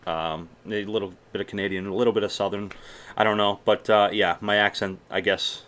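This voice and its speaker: A man's voice: fairly low pitch, fairly even intonation, fairly quick, a bit bright. A young man from Massachusetts with a mild Boston accent mixed with other American sounds, chatting casually and swearing often while answering viewer questions. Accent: mild Boston mixed with general American. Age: young adult. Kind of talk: casual Q&A vlog.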